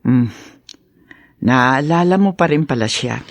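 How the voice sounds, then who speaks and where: A woman's voice: rumbling low, expressive, slow pace, dry recording, heavily acted. A woman playing a doting, coaxing mother of a grown son in a Filipino radio drama. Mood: gentle urging.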